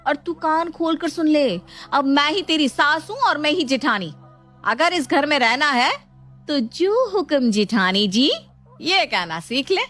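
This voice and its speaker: Lady playing mean haughty sister-in-law, sharp highish voice, taunting and bossing, family story thing. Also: lively intonation, measured pace, faint music behind. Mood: taunting, angry. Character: domineering, spiteful sister-in-law lording over household. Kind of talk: acted moral storytelling.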